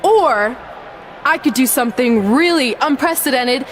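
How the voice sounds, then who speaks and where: A woman's voice: sits high, expressive, a little slow, a little hiss or hum. An actress playing a confident young female champion wrestler, self-assured and conversational. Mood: proud, confident.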